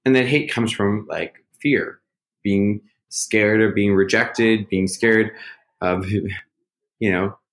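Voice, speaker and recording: A man in casual conversation, talking through his feelings in a rambling, self-searching way with lots of fillers. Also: on the low side. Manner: loud at times. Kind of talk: casual reflective chat.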